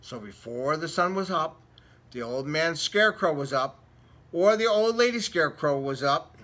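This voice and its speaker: A man's voice: on the high side, lively intonation, moderate pace, fairly bright tone. A man reading a children's storybook aloud, dramatically stretching and repeating words for effect. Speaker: storybook reader. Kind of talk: animated read-aloud for children.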